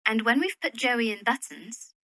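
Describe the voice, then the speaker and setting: A determined young widow acted by a woman in a period drama, genteel and decisive. Her voice is high and bright, with lively swings of pitch. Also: moderate pace, no echo. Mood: brisk, decided. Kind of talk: period literary radio drama acting.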